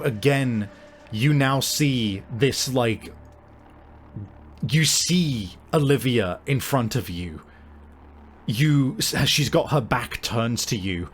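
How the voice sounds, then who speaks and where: A man narrating a tabletop roleplaying game as game master, speaking casually and haltingly, with some swearing. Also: mid-range voice, a little hiss or hum. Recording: music playing behind. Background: background music.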